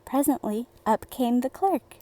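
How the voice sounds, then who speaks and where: A woman reading a children's bedtime fairy tale in a deliberate, theatrical storybook manner. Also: on the high side, expressive, dark tone.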